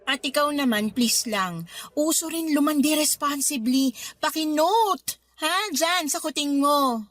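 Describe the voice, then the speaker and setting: High-voiced, scolding young woman friend. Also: animated, a little slow, a bit bright, dry recording. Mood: sarcastic, warning. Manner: sarcastic, nagging, emphatic. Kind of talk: radio drama dialogue in colloquial Taglish.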